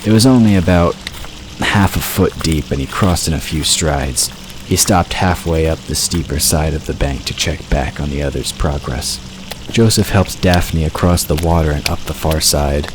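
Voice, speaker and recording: A man's voice: rumbling low, moderate pace, noisy background. A man reading scripted fiction narration as an audiobook narrator, in a storytelling style. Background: outdoor ambience and water sound effects.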